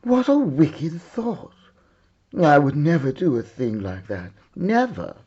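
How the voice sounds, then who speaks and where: A man's voice: fairly high pitch, very animated, slow pace, a little mellow, husky, dull audio, voice pushed up, full character voice. A man voicing a shocked, principled grey wolf in a storybook read-aloud, prim and emphatic.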